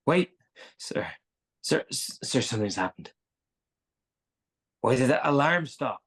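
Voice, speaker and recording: An actor playing a brusque, exasperated Navy admiral with a gravelly, husky voice, giving halting, clipped orders in a screenplay table read. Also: medium pitch, expressive, fairly bright tone. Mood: tense, deferential.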